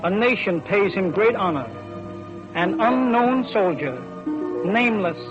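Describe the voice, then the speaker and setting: A man's voice: sits high, expressive. An American man giving a grave, declamatory patriotic oration in 1930s radio style, on an old broadcast recording. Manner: grave, declamatory, deliberate phrasing. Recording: muffled 1930s radio recording, music behind.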